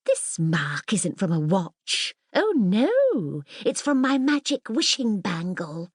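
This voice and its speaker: A woman's voice: medium pitch, huge pitch swings, slow pace, husky, no room sound, clean studio sound, pitched above the performer's own voice, heavily acted. A woman voicing a boastful, mischievous young princess in a grand, bossy, theatrical manner for a children's audiobook.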